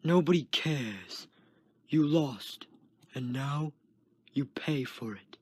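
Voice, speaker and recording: A man's voice: lively intonation, takes it slowly, darkish tone, breathy edge. A man reading a story as a smug, uncaring prison guard with a sarcastic, dismissive manner. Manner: sarcastic, dismissive, low growled delivery.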